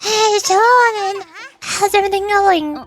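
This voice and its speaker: A very high little fairy game companion, acted out by a woman. She is blunt, excitable and quick to take offense, exclaiming her complaints over faint music. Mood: cheerful. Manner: speaks of herself in third person, exclaiming.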